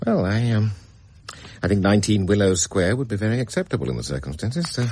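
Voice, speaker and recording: An actor playing a middle-aged senior estate agent, deferential and hesitant, hedging with fillers in a radio drama. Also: male voice, fairly low pitch, sing-song, fairly quick, darkish tone, a little hiss or hum. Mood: evasive. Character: fussy, evasive male senior estate agent.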